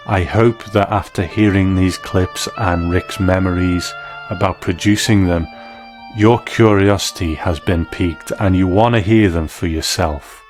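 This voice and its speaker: A man hosting a documentary podcast, reading scripted narration. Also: low-pitched. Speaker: podcast host and interviewer.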